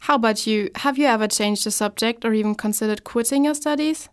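A woman's voice: a little high, fairly quick, smooth. A young woman hosting a scripted talk show, presenting in an upbeat, read-out way.

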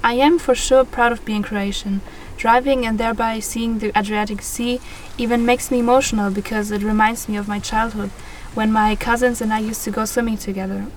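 A woman's voice: on the high side, steady tone, fairly quick, recorded from a distance, slight background noise, low-quality recording. A young woman from Germany reading a heartfelt scripted account. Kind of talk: read-aloud scripted conversation.

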